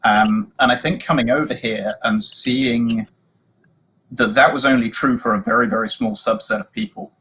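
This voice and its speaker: A man's voice: medium pitch, animated, normal speed, creaky, sharp tone, slightly rough, poor audio. A young man with a British accent giving thoughtful, conversational commentary as a panel guest on a video call from home. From Britain. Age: young adult. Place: small room at home, indoors.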